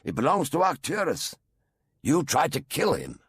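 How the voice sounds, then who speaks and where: A man's voice: medium pitch, huge pitch swings, unhurried, vocal fry, a bit bright, no echo, clean studio sound, pitched above the performer's own voice, heavily acted. A man voicing a plucky, defiant young woman in a raised, hesitant voice.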